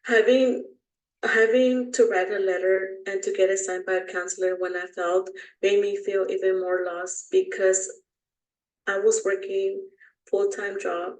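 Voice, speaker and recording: Uma mulher de quase trinta anos, cujo inglês não é a primeira língua, lendo com cuidado sua história pessoal. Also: female voice, medium pitch, level tone, unhurried, darkish tone. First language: not English. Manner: careful, reads from notes, small slips. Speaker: student presenter. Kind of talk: reading a personal story. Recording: roomy and distant mic.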